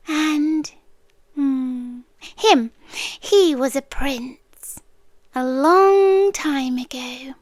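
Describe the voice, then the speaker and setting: A British woman playing a possessive, centuries-old monster girl in an ASMR roleplay, softly coaxing and sweetly sinister, often dropping into a breathy whisper. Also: sits high, no room sound, full character voice. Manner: slow, coaxing, eerily reassuring with dramatic pauses. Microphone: close ASMR microphone, dry sound.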